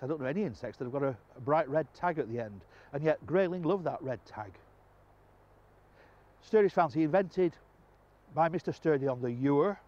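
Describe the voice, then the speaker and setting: An older man presenting a fly fishing lesson on a riverbank, explaining calmly with quiet enthusiasm, recorded on a clip-on mic. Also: a little high, muffled recording. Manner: calm, explanatory, enthusiastic about the fish. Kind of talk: outdoor instructional presenting. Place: outdoors on a river bank.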